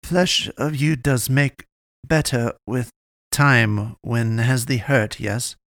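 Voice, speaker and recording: A woman's voice: very deep voice, fairly even intonation, no echo, studio quality. A man playing a cold woman in broken English. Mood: questioning. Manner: cold, commanding, halting broken phrasing.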